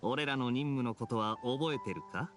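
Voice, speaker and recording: A man playing a suave, self-assured young swordsman in an anime game, with a smooth, nonchalant way of talking. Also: mid-range voice, moderate pace, a bit bright, soft background music, natural voice. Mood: teasing. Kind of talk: Japanese anime game voice acting.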